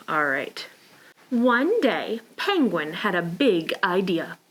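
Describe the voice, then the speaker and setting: A woman hosting a preschool storytime, reading aloud and talking simply and encouragingly to small children. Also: medium pitch. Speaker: library preschool storytime host.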